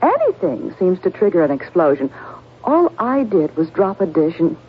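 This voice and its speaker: An actress playing an exasperated, sharp-witted wife, wry and pointed, in a 1970s radio drama. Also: female voice, lowish voice, big pitch swings, moderate pace. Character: exasperated, sharp-witted wife needling her nervous husband. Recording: dull, faintly noisy radio broadcast.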